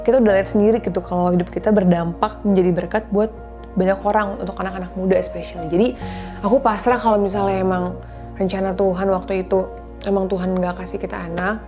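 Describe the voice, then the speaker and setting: A woman's voice: mid-range voice, little pitch movement, fast talker, over music. A woman in her thirties talks earnestly and confidingly to her viewers about faith and marriage, in a casual style that mixes in English words. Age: 30s. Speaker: vlogger sharing faith and marriage advice. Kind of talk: casual heartfelt talk to viewers.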